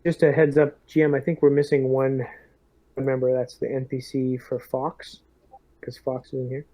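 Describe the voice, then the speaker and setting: A man playing a tabletop roleplaying game, talking casually and haltingly, in and out of character. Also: medium pitch, level tone, dark tone, no room sound, rough recording.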